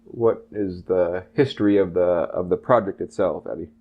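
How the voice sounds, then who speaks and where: A man in his thirties from Canada and Texas, speaking unscripted in a halting, reflective way as he discusses his personal history. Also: low-pitched, husky. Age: 30s. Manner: halting, reflective, rephrases as he goes. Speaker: project co-creator and interviewer. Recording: dull, lo-fi sound.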